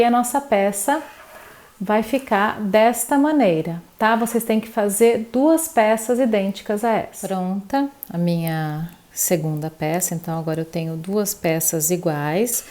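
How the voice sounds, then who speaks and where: A woman guides a crochet tutorial in a warm, mellow voice. She counts stitches aloud, one row at a time. Her pitch sits in a middle range and she keeps a patient teaching tone. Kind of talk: step-by-step craft tutorial.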